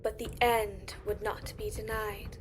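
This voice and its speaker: A woman playing a solemn, ancient lore-keeper who gravely tells old tales in a fantasy audio drama. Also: medium pitch, little pitch movement, a little slow, lightly acted. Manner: grave, storytelling. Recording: music behind the voice.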